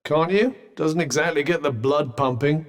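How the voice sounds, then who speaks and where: A man's voice: fairly high pitch, moderate pace, lightly acted. A man with a British accent voicing a haughty, sardonic magical cuff companion in a video game, posh and understated in his dry, condescending delivery. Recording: voice with long reverb.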